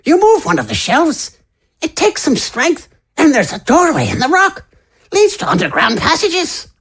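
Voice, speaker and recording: A man voicing a frail, nervous old male librarian with a squeaky, shaky voice in a fantasy audio drama. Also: wildly swooping pitch, moderate pace, a bit bright, exaggerated voice. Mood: explanatory, wary. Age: older.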